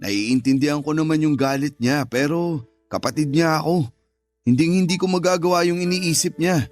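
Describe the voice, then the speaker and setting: An actor playing a firm but considerate older brother in a radio drama. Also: male voice, a little high, moderate pace, darkish tone, faint music behind, lightly acted. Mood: gentle, conciliatory. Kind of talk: Tagalog radio drama acting.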